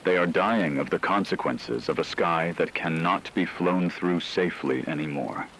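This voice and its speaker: A man playing a grave radio news anchor, reading a scripted emergency bulletin in a formal, measured, solemn way. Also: low voice, a bit bright, slight background noise, rough recording. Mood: somber. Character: grave male shortwave radio newscaster reporting global catastrophe.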